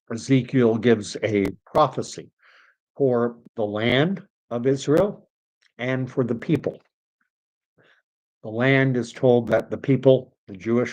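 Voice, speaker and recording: A man's voice: mid-range voice, a little mellow, poor audio. A middle-aged man from Canada hosting a live stream, rambling with many fillers as he reads chat comments, his voice wearing out. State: losing his voice.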